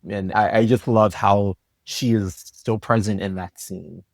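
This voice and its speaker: A man's voice: lowish voice, fairly even intonation, measured pace, creaky, a little mellow, no echo, studio quality. A man who writes soap opera, explaining things earnestly in a reflective, casual discussion.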